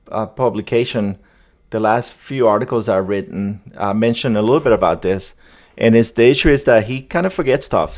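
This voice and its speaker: A man hosting a casual, conversational demo, talking viewers through each step as he goes. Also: mid-range voice. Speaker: host demonstrating an AI agent setup. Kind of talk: casual screen-demo narration.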